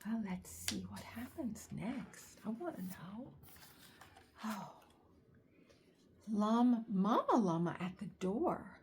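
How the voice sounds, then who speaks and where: A woman reading a children's picture book aloud, expressively, with drawn-out words and chatty asides and questions to young listeners. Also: medium pitch, expressive, a little hiss or hum.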